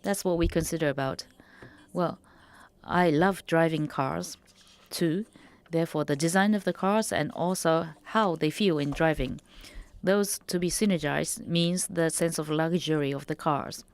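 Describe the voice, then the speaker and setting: A woman giving simultaneous interpretation of a panel discussion, with measured, slightly stilted phrasing. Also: sits low, clean tone, no echo.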